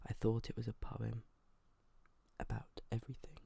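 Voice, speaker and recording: An ASMR host reading aloud in a soft, breathy whisper, gentle and hushed, close to the microphone. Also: medium pitch, flat delivery, moderate pace, no echo. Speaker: host of an ASMR video channel.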